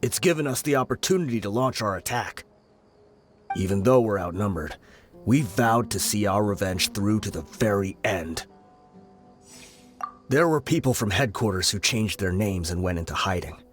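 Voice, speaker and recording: Guy doing a grave desert mercenary in a game, lowish voice, solemn storyteller, bit of music behind. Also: expressive, normal speed, close to an everyday voice. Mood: grimly resolved. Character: grave, remorseful male desert mercenary bent on revenge. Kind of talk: video game voice acting, dramatic storytelling.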